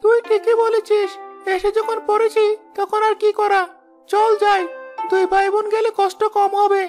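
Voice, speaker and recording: A woman's voice: very high voice, little pitch movement, moderate pace, darkish tone. A woman voicing a hungry, plaintive little village boy in a children's cartoon. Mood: curious, willing. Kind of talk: children's moral-story cartoon dubbing. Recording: faint music behind the voice.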